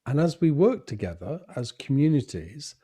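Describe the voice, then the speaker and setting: A man's voice: mid-range voice, very animated, moderate pace, slightly rough. A man hosting a podcast, listening agreeably and chiming in with short replies. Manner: warm, agreeable listener, many short affirmations. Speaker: podcast host. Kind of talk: casual conversation.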